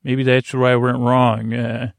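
A man with a creaky voice hosting a sleep podcast, rambling gently and hesitantly through meandering tangents, recorded very close to the mic in a small padded closet. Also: mid-range voice, completely flat delivery, a little slow, no room sound. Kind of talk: rambling bedtime monologue for sleep. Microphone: close microphone, monitored on headphones.

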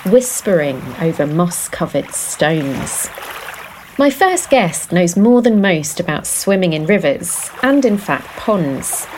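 A woman host reading a scripted introduction in a measured way, then asking interview questions. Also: fairly low pitch, darkish tone, a little hiss or hum, rough recording. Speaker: podcast host.

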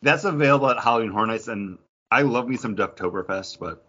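A man's voice: on the low side, brisk, sharp tone, breathy edge, lo-fi sound. A man hosting a casual podcast, chatting in an enthusiastic, conversational way. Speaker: podcast host.

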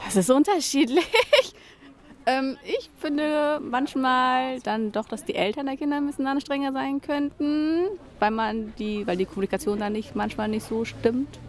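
Young woman, trainee, hesitant, high voice. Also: moderate pace. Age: young adult. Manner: hesitant, with fillers. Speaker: trainee childcare worker.

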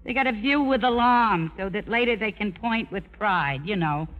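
A woman's voice: mid-range voice, lively intonation, moderate pace, close to an everyday voice. A woman playing a blunt, wisecracking, big-hearted nurse in a 1950s radio drama. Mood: wry, brisk. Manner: blunt, slangy, reassuring. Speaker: actress and narrator. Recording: muffled old radio recording, music behind.

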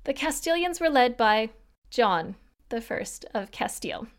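A woman's voice: fairly high pitch, lively intonation, a little slow. A woman hosting a history podcast, reading scripted narration in an explanatory way with casual, lightly wry asides.

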